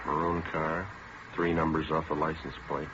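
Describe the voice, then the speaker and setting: A man's voice: a bass voice, little pitch movement, moderate pace, noisy background. A man acting a terse, weary police detective who narrates his case in clipped, matter-of-fact old radio procedural style. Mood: businesslike. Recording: dull vintage radio recording, music behind.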